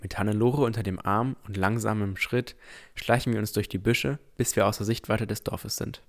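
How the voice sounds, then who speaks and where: Man as low-voiced, earnest boy adventurer. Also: steady tone, normal speed, lightly acted. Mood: reflective narration. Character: boy Noel, earnest, cautious young adventurer narrating his story. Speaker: narrator and character in an audio drama. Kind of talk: first-person scripted narration and dialogue.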